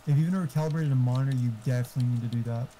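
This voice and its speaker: A man's voice: mid-range voice, racing, a crowd in the background. A man chatting casually over an online game, talkative and profane, explaining tech. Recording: faint background noise.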